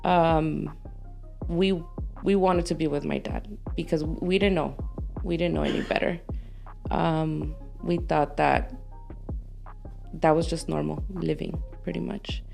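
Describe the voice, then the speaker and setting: A woman's voice: deep voice, fairly even intonation, measured pace, creaky, a little mellow, faint music behind. A woman being interviewed as a guest, talking candidly about her life and switching between English and Spanish. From Los Angeles, United States. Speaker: podcast guest. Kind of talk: candid conversational interview, telling life story.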